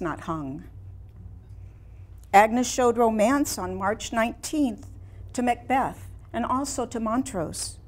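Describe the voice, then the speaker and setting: Woman playing a slow, melancholy elderly painter. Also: a little high. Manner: theatrical, measured, with long pauses. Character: ailing elderly woman painter, melancholy, reflective, looking back. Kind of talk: staged play reading, dramatic monologue. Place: small indoor room before a seated audience.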